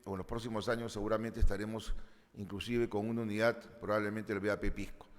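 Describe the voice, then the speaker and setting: A man introducing a conference session in a formal, measured, ceremonious way, with the odd filler. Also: lowish voice. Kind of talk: formal prepared address. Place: large conference hall. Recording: long hall reverb.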